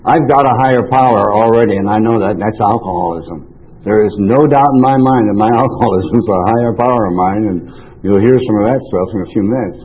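An older man who grew up speaking French speaks in a fairly low voice through a thin, phone-like recording. He tells his own story to a group informally, circling back and interrupting himself as he goes. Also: brisk, husky. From New York, United States. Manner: rambling, self-interrupting storytelling. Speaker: recovery meeting speaker. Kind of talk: informal personal testimony to a group. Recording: tinny band-limited radio-like sound.

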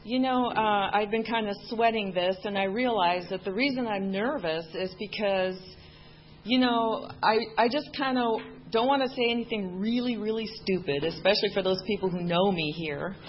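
A woman of middle pitch tells her own story to a meeting room, frank and wry, with plenty of ums and you-knows. She grew up speaking Spanish. The recording is dull and lo-fi with a faint hiss. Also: moderate pace. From Mexico. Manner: candid, self-deprecating humor with many fillers. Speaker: main speaker at a recovery meeting. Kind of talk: personal testimony to a group.

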